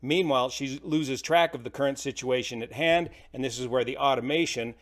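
A man's voice: mid-range voice, brisk. A middle-aged American man giving informal, colloquial explanatory commentary as an aviation host.

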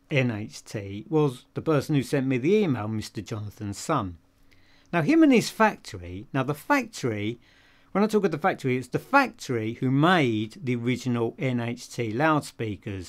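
An older man with a British accent giving a casual product review to camera in a conversational, emphatic way, posing questions and answering them himself. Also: medium pitch. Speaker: audio dealer and video reviewer. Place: small home office room, indoors.

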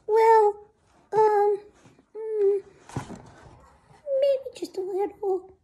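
A woman's voice: very high voice, little pitch movement, extremely slow, warm tone, voice pushed up, heavily acted. A woman voicing a young girl dinosaur, hungry and eager for friends, in a whiny, dramatic storybook manner. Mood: sheepish.

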